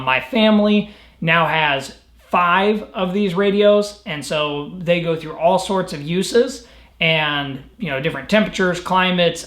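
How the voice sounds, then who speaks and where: A man's voice: fairly high pitch, fairly bright tone. A man giving a casual, conversational product review of outdoor gear, explaining things in a matter-of-fact way. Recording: roomy echo.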